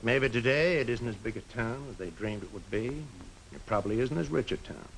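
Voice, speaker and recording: An actor playing a sober, principled small-town man in a 1950s film drama, speaking earnestly and deliberately on an old soundtrack. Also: medium pitch, animated, creaky, fairly bright tone, slight background noise, rough recording. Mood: grave, earnest.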